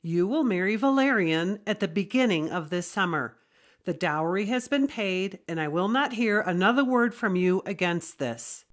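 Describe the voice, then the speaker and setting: A woman's voice: medium pitch, expressive, normal speed, close to an everyday voice. An actress playing a stern, unyielding middle-aged Roman mother in an audio drama, with a commanding manner.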